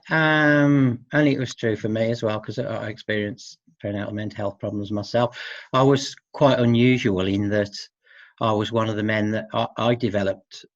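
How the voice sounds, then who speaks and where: A middle-aged man speaking as an expert guest in an informal interview, hesitant and halting, often seeking agreement with tag questions. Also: on the low side, dry recording. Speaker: expert guest who works with men. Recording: dull, muffled lo-fi audio.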